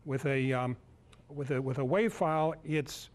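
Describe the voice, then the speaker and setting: A man in his sixties giving an informal live demonstration as a lecturer, halting as he searches for words. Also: mid-range voice. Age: 60s. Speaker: lecturer at a club workshop. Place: indoor meeting room, speaking to a group.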